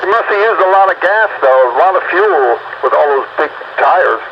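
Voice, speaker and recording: A man's voice: a little high, lively intonation, moderate pace. An older man making casual remarks in a group chat over a noisy, muffled radio link.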